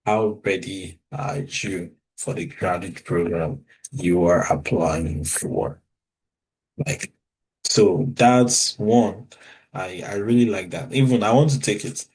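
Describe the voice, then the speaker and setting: A man's voice: fairly low pitch, rough recording. A man from Nigeria with a Nigerian English accent, giving a conversational talk full of fillers and restarts. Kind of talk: informal talk.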